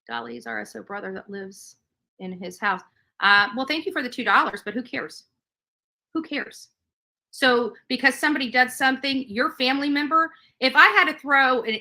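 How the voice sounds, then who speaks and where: A woman's voice: a little high, bright, forceful tone. A woman livestreamer delivering a combative, emphatic rant to her viewers, repeating phrases for stress. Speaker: livestream host.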